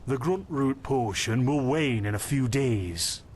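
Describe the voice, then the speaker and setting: A man's voice: mid-range voice, lively intonation, measured pace, darkish tone, slight background noise, close to an everyday voice. A man playing a kindly, anxious traveling apothecary in a fantasy game, chatty and earnest, with hesitant turns. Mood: busy, reassuring. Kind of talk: fantasy game character dialogue.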